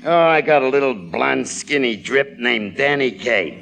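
Gravelly, wisecracking radio stork. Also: male voice, mid-range voice, lively intonation, unhurried. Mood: wisecracking, weary. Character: wisecracking weary male stork delivering babies. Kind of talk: 1940s radio comedy acting. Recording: old radio recording with hiss and clicks.

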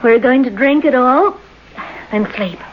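An actress playing a steely, bossy lover who takes control, brisk and commanding, in an old-time radio drama. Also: female voice, sits high. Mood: controlled, firm. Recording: muffled, noisy vintage radio recording.

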